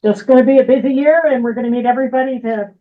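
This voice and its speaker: A woman's voice: a little high, steady tone, racing. A woman giving a political action report at a community meeting, laughing easily. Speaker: political action team lead. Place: meeting room. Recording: tinny, phone-like audio.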